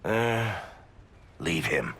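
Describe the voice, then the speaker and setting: A man's voice: sits low, very animated, extremely slow, a bit bright, a little hiss or hum, exaggerated voice. A male actor playing a roguish, affable dwarf storyteller in a video game, talking like a jovial raconteur. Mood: fond, rueful.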